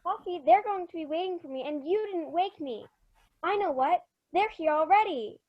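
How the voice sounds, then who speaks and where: A high-pitched little girl in a radio play, voiced by a female actor, eager and anxious for her parents. She is plaintive and keeps insisting. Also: lively intonation, normal speed, dull audio, noisy background. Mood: eager, impatient. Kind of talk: old-time radio drama acting.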